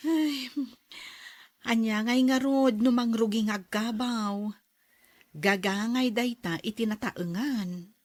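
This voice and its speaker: An actress plays a patient, understanding woman in a family audio drama. Her voice is fairly high and unhurried. She sounds soothing, recorded dry and close. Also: no room sound. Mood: concerned, understanding. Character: patient woman accepting of an elder's decline. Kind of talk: Ilocano audio drama acting.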